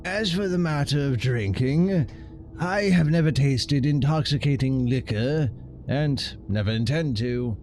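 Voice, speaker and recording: A man streaming a game, casually commenting and reading text aloud. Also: medium pitch.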